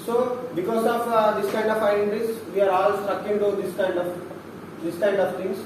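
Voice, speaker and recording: A young man with a Telugu-Indian accent, a philosophy student giving a seminar talk, halting with many restarts and fillers. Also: sits high, level tone. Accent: Indian English, Telugu speaker. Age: young adult. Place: seminar room. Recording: echoey room, mic far off, noisy.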